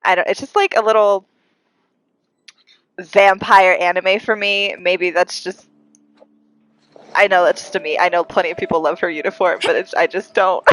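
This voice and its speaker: A young woman with a congested, breathy voice, giving casual, excitable live commentary as she streams a game. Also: on the low side, normal speed, long pauses, poor audio. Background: game music.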